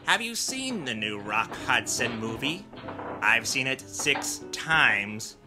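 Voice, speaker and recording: Creepy old butler, super polite but nuts, talks slow over loud music. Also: male voice, mid-range voice, sing-song. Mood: formal, grandiose. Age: older. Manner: formal, fussy, drifting into crooning. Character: creepy, eccentric old butler, courteous, secretly unhinged. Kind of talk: comedic horror radio-play acting.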